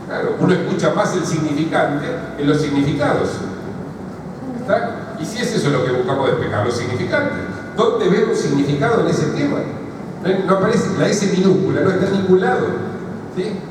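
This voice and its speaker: A man's voice: high-pitched, lively intonation, fairly quick. Man with an Argentine accent lecturing. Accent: Argentine Spanish. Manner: explanatory, keeps asking "¿sí?" and "¿está?" to check in. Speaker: psychoanalyst lecturing a seminar. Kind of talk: seminar lecture answering a question. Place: large university lecture hall. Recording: echoey hall from far off, noisy.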